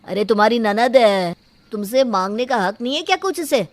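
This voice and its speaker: A woman's voice: fairly high pitch, lively intonation, moderate pace, lightly acted. A woman voicing an evasive young fiancé in a story drama, placating and dismissive in manner. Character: evasive young fiancé, indulgent brother and dutiful son. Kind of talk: dramatised Hindi moral story acting.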